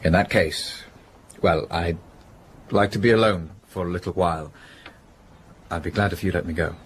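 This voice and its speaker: Actor as a deep-voiced, contrite gentleman. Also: normal speed, a little hiss or hum, lightly acted. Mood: quiet, resigned. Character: contrite upper-class young businessman confessing an affair. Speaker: actor in an audio drama. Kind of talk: period radio drama acting.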